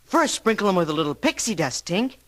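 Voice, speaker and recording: A woman playing a confident, adventurous young boy hero in a children's storybook record, brisk and assured. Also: mid-range voice, big pitch swings, over music, lightly acted. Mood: confident, inviting.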